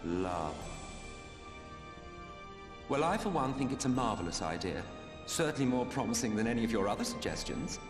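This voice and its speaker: A man's voice: mid-range voice, sing-song, normal speed, pauses often, lightly acted. A man playing an urbane, mocking immortal villain in a video game, sardonic and theatrical. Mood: sly, taunting. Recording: reverberant hall, clear music behind. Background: music.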